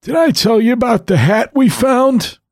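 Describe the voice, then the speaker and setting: A man's voice: very high voice, lively intonation, normal speed, breathy edge, dry recording, studio quality, voice pushed up, full character voice. A man voicing a frail, rambling old grandfather who tells a horror story in the first person. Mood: wistful.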